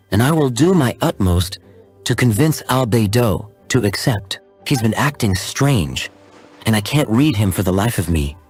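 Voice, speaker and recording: A man playing a cool, shrewd knight officer in a video game, husky and deliberate. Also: medium pitch, a little mellow. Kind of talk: English video game voice acting.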